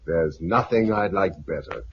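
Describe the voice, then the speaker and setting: A man's voice: low-pitched, unhurried, slight background noise. A man with a British accent playing a thoughtful, weary biologist and family man in a 1950s radio drama. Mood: interested. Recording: muffled 1950s radio recording.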